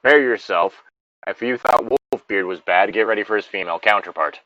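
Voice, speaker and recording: A man's voice: lowish voice, brisk, bright, forceful tone, no echo, lo-fi sound. A man co-hosting a story reading show, switching between reading aloud and casual, sardonic, rambling commentary with some swearing.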